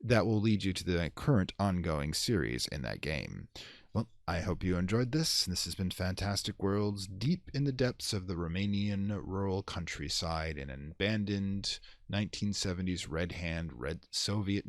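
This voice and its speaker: A man's voice: low voice, expressive, a little slow, gravelly, dry recording, clean studio sound. A man hosting and reading horror stories in a theatrical, ornate manner, with a gothic storyteller's delivery. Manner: theatrical, ornate phrasing with wry asides. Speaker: horror story reader and channel host. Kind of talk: dramatic gothic audiobook reading, then informal host sign-off.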